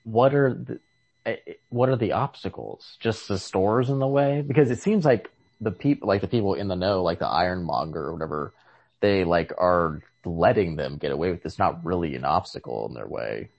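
A man's voice: deep voice, animated, moderate pace, creaky, muffled recording. A man in casual book discussion, rambling tentatively and restarting his sentences often. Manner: tentative, rambling with many false starts. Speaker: podcast host discussing short stories.

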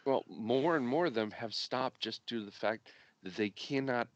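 A man's voice: medium pitch, moderate pace, no echo, dull audio. A man co-producing a podcast, still getting over an illness, making his points emphatically and repeating them. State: recovering from illness, deep voice. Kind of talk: casual political chat.

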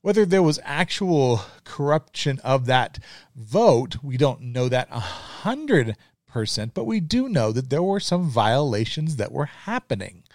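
A man's voice: medium pitch, very animated, measured pace, a little mellow, dry recording, studio quality. A middle-aged man hosting his own podcast, talking earnestly in a casual monologue with frequent ums and restarts. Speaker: podcast host.

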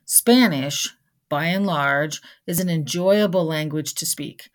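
A woman with a low-pitched voice presents a scripted vocabulary lesson. She enunciates single words with care and slips in conversational asides as she teaches. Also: takes it slowly. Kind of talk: scripted educational narration.

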